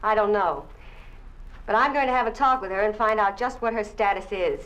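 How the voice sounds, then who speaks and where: A woman plays a practical, firm aunt who speaks briskly at a middling pitch. The delivery is 1950s radio drama. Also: a bit bright, close to an everyday voice, rough recording.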